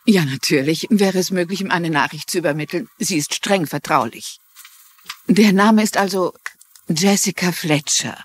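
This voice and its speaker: A woman playing a polite but persistent older amateur sleuth in a dubbed TV crime drama. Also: fairly low pitch. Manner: courteous, insistent.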